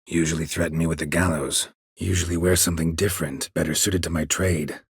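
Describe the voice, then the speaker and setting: An actor playing a gruff, weary, stoic monster hunter in a video game, a gravelly voice and a terse, deadpan delivery. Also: male voice, a little fast, no room sound, full character voice. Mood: dry, terse. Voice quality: husky, gravelly low voice. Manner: terse, clipped, deadpan, dry. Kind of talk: video game character dialogue.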